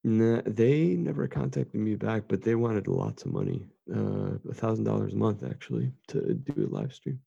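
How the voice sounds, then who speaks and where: A husky man with a heavy gravelly rasp, his voice worn and hoarse, chatting loosely and laughing between lines. His low-ish voice swings widely, and it sits on a dull, dry lo-fi mic. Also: very animated, moderate pace, few pauses, mellow, no echo. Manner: laughs often, loose and joking. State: losing his voice. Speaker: co-host. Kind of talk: casual chat.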